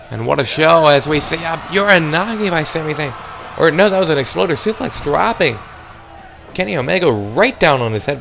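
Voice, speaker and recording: A man doing hoarse, excitable play-by-play commentary on a wrestling match, calling moves and near-falls. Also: on the high side, a little hiss or hum. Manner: excitable, calls moves and counts.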